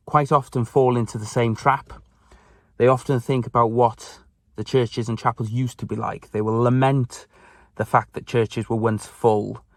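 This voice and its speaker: A man's voice: mid-range voice, no echo, clean studio sound. A man in his twenties with a Welsh accent, a native Welsh speaker, earnestly sharing a personal reflection on faith from his parked car. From Wales. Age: 20s. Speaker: church youth member sharing faith. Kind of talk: personal faith talk to camera.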